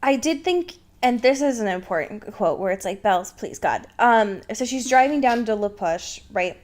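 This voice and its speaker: Higher-voiced woman chatting casually. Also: expressive, normal speed, darkish tone. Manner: informal, hedging, conversational. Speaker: podcast co-host. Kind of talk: casual chat.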